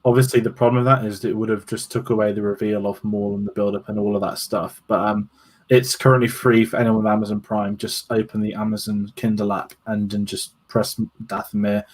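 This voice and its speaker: A man co-hosting a casual podcast chat, halting and searching for his words. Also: on the low side, flat delivery. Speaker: podcast co-host.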